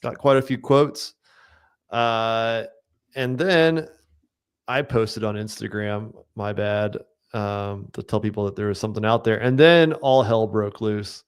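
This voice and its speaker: A man's voice: lowish voice, steady tone, measured pace, clean tone. A man co-hosting a podcast, plainly recounting articles and reading out comments, with many ums. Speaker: podcast co-host. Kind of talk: casual chat.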